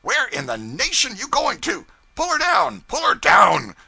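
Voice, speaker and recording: A man's voice: fairly high pitch, big pitch swings, moderate pace, creaky, a crowd in the background, pitched above the performer's own voice. A man voicing a bullying, irritable older riverboat pilot who barks and sneers. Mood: shouting, furious. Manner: barking, shouting, sneering.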